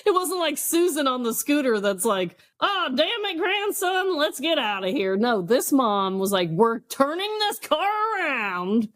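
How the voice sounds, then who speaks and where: A middle-aged woman in casual podcast banter, expressive and giggly, often exclaiming as she reacts. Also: high-pitched, measured pace, few pauses, no echo, studio quality. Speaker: podcast host. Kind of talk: casual banter, reading listener confessions.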